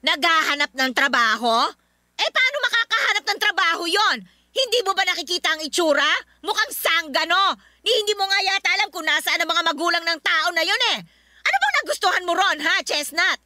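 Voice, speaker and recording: A woman playing a stern, disapproving middle-aged Filipino mother, scolding with sharp questions in a radio drama. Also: extremely high pitch, animated, a little fast, no echo, studio quality, exaggerated voice. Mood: scornful. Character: stern, nagging, disapproving mother of a grown daughter. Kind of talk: Tagalog radio drama acting.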